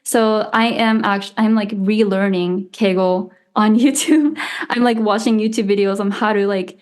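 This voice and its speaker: A woman's voice: medium pitch, fairly even intonation, unhurried, a little mellow. Chatty young woman, native Japanese speaker. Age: 20s. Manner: chatty, lots of "like" and "um", laughs lightly. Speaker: guest. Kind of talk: casual interview chat.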